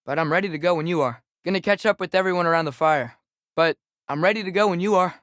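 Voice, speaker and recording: A restless teenage boy adventurer speaks in a fairly high voice with an American accent. His delivery is casual, hesitant and understated, like a companion in a video game. The sound is very dry, with no room on it. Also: fast talker, fairly bright tone, dry recording. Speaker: game character voice actor.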